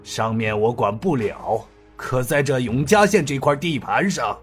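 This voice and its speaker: A man's voice: mid-range voice, expressive, unhurried, faint music behind, lightly acted. A man voicing a stern, scheming county magistrate with a commanding, sneering way of talking. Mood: scornful.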